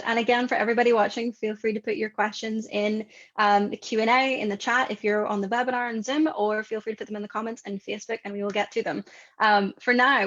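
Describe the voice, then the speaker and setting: A woman's voice: mid-range voice, a bit bright, dull audio. A woman moderating a webinar panel over a video call, upbeat and encouraging as she passes the floor to each speaker.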